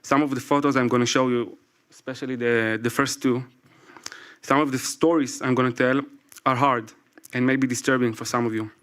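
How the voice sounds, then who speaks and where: A man's voice: mid-range voice, steady tone, fairly bright tone. Man in his forties with an Israeli accent. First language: Hebrew. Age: 40s. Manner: careful, halting, apologetic. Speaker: speaker at a public event. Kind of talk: reading a prepared talk from his phone. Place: event stage before a live audience.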